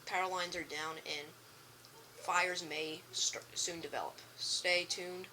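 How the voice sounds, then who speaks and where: A woman reading a scripted emergency broadcast message as an announcer, in a halting way with some stumbles. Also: fairly low pitch, fairly even intonation, sharp tone, a little hiss or hum, low-quality recording.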